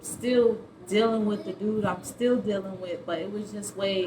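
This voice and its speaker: A woman's voice: medium pitch, fairly even intonation, moderate pace, crowd noise. A woman in her early twenties telling a personal story in a casual, slangy, rambling way. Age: early 20s. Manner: informal, slangy, conversational. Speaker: vlogger. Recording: roomy echo, clearly noisy background.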